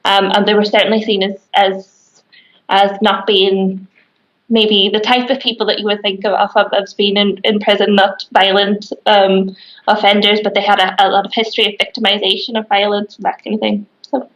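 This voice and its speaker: Hesitant Northern Irish woman, flat delivery. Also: medium pitch, normal speed, muffled recording. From Northern Ireland. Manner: hesitant, many fillers and restarts. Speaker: criminology lecturer, guest. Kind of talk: academic interview answers. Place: small office indoors. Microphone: webcam on video call.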